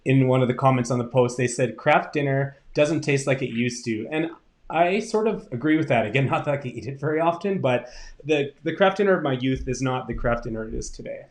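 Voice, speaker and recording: A man's voice: mid-range voice, a little fast, echoey room. A man co-hosting a casual chat show from home over a video call, upbeat and chatty. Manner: upbeat and chatty, laughs often. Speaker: co-host.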